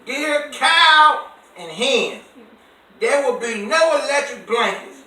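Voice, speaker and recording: A stern, exasperated farmer from a storybook, acted out by a man, high and bright in tone. He makes demands slowly and emphatically. The sound is distant, in an echoey room. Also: expressive, exaggerated voice. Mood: stern, demanding. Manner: slow, emphatic, demanding delivery.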